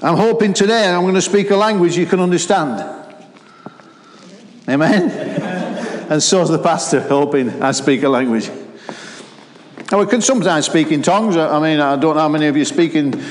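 Lancashire man, preacher, lively, rousing the congregation, long hall reverb. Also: a little high, normal speed, long pauses, slight background noise. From Lancashire, England. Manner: animated, calls to the congregation. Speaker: guest preacher. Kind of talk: sermon. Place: church hall. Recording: roomy hall with long echo.

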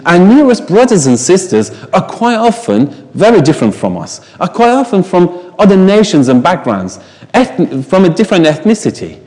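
A man's voice: sits high, big pitch swings, moderate pace, a little mellow, in a large hall. A man whose first language is Farsi, preaching a sermon in an emphatic way, asking the congregation rhetorical questions.

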